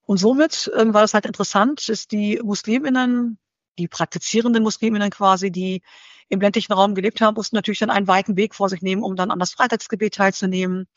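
A woman giving careful, conversational answers as an interview guest. She talks in a measured way, often searching for her words. The recording is dry and slightly muffled. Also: medium pitch, fairly even intonation, no echo.

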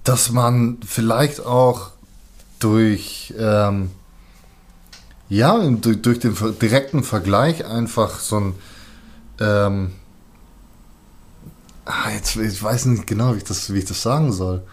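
A man's voice: fairly low pitch, takes it slowly, pauses often. A man talking casually in colloquial language as a musician, often hesitating while he searches for words. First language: German. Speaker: musician, rapper. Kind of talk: casual conversation.

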